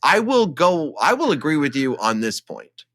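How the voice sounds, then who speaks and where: A man's voice: on the high side, very animated, moderate pace, a bit bright, no echo. A man co-hosting a casual film podcast, talking enthusiastically and emphatically in a conversational way. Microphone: studio microphone, close.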